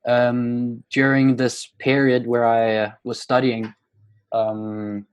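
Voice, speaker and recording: A man's voice: mid-range voice, flat delivery, slow pace, smooth, muffled recording. Hesitant young Norwegian man. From Norway. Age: 20s. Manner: hesitant, many fillers and restarts. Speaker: guest poet. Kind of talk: casual interview.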